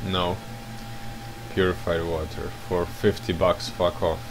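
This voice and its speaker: Man, deep drawl, wry reactive game commentary. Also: level tone, background noise. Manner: low, slow, swearing and mumbling reactions. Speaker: gameplay streamer. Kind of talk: casual gameplay commentary.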